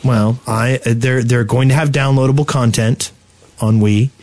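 A man's voice: mid-range voice, steady tone, a little slow, darkish tone, dry recording. A man co-hosting a podcast, chatting casually with joking banter. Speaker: podcast co-host. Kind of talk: casual group podcast chat.

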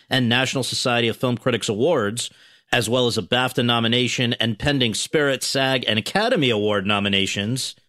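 A man hosting a podcast interview in front of a student audience, reading a formal introduction in a measured way. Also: mid-range voice, fairly bright tone, no room sound, studio quality. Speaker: podcast host. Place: university venue with audience.

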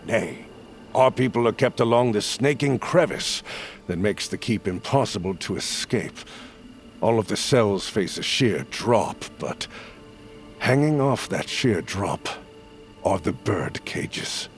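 A male actor playing a solemn, persuasive leader of smuggler outcasts in a fantasy audio drama, with measured, formal, archaic phrasing. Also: lowish voice, expressive, faint music behind. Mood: grave. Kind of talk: audio fiction acting.

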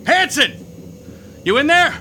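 A man's voice: very high voice, big pitch swings, slow, exaggerated voice. An actor playing a wary man named Brown, calling out restlessly in a horror radio play. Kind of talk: radio drama acting.

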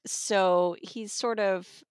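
A woman's voice: medium pitch, steady tone, very slow, clean tone, no room sound, studio quality. A woman talking enthusiastically about an audiobook as an interview guest, laughing now and then. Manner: enthusiastic, conversational, laughs often. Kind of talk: casual interview chat.

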